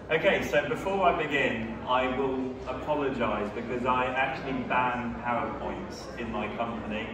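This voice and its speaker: A man's voice: medium pitch, heavy background noise. A man in his thirties from France presents a seminar, chatty and joking with the audience. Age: 30s. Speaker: seminar speaker, company founder. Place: large exhibition hall. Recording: echoey hall, speaker far from mic.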